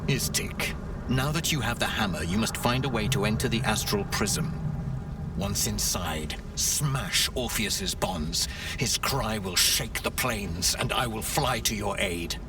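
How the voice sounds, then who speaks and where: Stern fantasy rebel warrior, oratorical game dialogue, deepish voice, fervent, backed by music. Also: male voice, lively intonation, measured pace, bright, forceful tone. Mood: urgent, commanding. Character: zealous, stern male githyanki rebel knight. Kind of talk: dramatic video game dialogue. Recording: distant, with music underneath.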